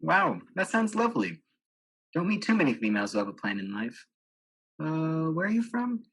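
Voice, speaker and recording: A man's voice: a little high, sing-song, moderate pace, a bit bright, slightly rough, exaggerated voice. A young man playing a smug, tactless date in a student stage drama, glib and dismissive. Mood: smug, prying. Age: young adult.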